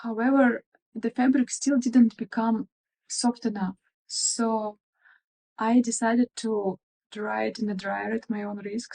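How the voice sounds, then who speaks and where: A woman with a Russian accent talks in a friendly, slightly shy way, often pausing on small fillers. Her voice sits a little high and has a mellow, warm tone. She sounds like someone in her thirties chatting casually on her own channel. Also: husky, rough recording. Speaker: crafting vlogger. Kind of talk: casual crafting video chat.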